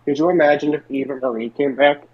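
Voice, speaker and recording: A man's voice: a little high, fairly even intonation, fast, crowd noise. A man co-hosting a podcast, chatting casually with friends over slightly dull audio. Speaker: podcast co-host. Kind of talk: casual group chat.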